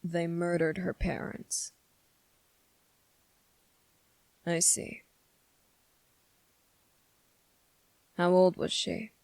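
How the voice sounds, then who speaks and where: A sardonic demon, played by an ASMR performer, speaks in a slow, gravelly drawl. The delivery is deliberate and condescending, with menacing pauses. The sound is dry and intimate, as in an audio roleplay. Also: medium pitch, monotone, a little mellow, no room sound, poor audio. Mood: grave, subdued. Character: sardonic, menacing demon weighing a summoner's revenge plea. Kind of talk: dramatic ASMR roleplay monologue.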